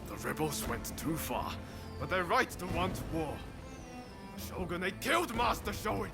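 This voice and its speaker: Game cutscene: affable samurai rebel, informal, pitch moving freely, strong music behind. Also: male voice, sing-song, moderate pace, creaky, heavily acted. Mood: indignant. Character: earnest, affable young rebel swordsman. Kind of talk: video game cutscene acting. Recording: game audio under loud music.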